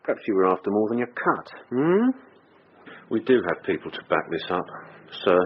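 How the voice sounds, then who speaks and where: An actor playing a sardonically polite British police detective questioning a suspect in a radio drama. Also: male voice, lowish voice, very animated, normal speed, breathy edge, phone-quality sound. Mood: sardonic, probing.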